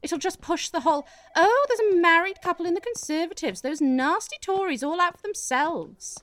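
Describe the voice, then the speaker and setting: A woman playing a harried, sweary in a radio play, with an exasperated, halting politician's manner. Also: extremely high pitch, animated, fairly bright tone, dry recording. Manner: exasperated, stammering politician's hedging. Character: harried, sweary British Prime Minister, a woman.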